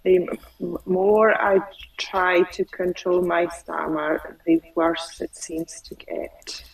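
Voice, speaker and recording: A woman client who stutters, slowly repeating phrases after her coach over a video call. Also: lowish voice, steady tone, creaky, far from the mic, low-quality recording.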